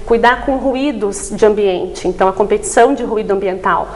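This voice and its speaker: A woman's voice: mid-range voice, fairly quick, rough recording. A woman explaining things didactically as a specialist guest in a TV interview, often adding short tag questions. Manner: didactic, frequent tag questions seeking agreement. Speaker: voice therapist guest.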